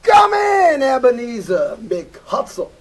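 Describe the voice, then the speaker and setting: A man's voice: very high voice, huge pitch swings, takes it slowly, raised voice, heavily acted. A man playing a boisterous, jolly giant Christmas ghost in a comedic amateur audio play, bellowing and hammy but casual. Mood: jovial, booming. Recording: clearly noisy amateur recording.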